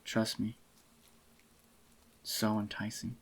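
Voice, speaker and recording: Low, soft-spoken man playing a doting boyfriend. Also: monotone, breathy edge. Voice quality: slightly groggy voice. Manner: intimate, doting, unhurried murmur. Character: adoring possessive young boyfriend, tender and clingy. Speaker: ASMR voice actor.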